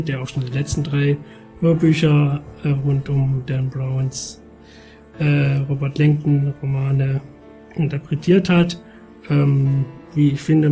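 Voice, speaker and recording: A man's voice: mid-range voice, warm tone. A man hosting a show and giving a casual, colloquial, conversational review. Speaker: host and book reviewer.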